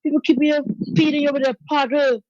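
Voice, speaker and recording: A woman's voice: high-pitched, steady tone, a little fast, darkish tone, no echo, muffled recording. A Guyanese woman calling in to a talk show, speaking emphatically and preaching her point. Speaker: caller. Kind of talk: call-in commentary. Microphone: phone call.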